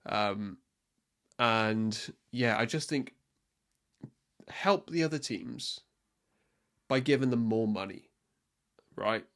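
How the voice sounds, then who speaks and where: A man's voice: fairly low pitch, slow, long pauses, a bit bright. A young man from Wales, hosting a casual solo sports podcast and talking in an opinionated, rambling way with frequent ums and restarts. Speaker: podcast host.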